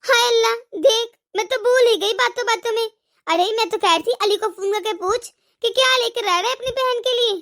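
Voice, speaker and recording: A woman voicing a scolding, sarcastic, demanding mother-in-law in an animated family drama. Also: very high voice, a little fast. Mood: bossy, expectant. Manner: nagging, sarcastic. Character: scolding, demanding mother-in-law, fiercely partial to her daughter.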